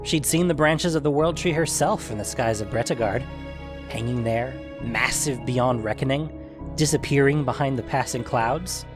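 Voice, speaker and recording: A man's voice: medium pitch, normal speed. A man reading scripted fantasy story narration as the narrator. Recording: music behind the voice.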